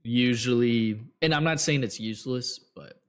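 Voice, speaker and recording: A man hosting a trading show, giving blunt, rambling advice to viewers with many fillers, his voice getting hoarse. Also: mid-range voice, moderate pace. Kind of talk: casual advice talk to viewers.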